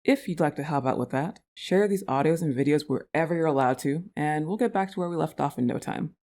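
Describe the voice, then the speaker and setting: A woman's voice: low voice, fairly quick, warm tone. A woman who makes audio roleplays, talking casually and directly to her listeners in an outro. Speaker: audio roleplay creator and performer.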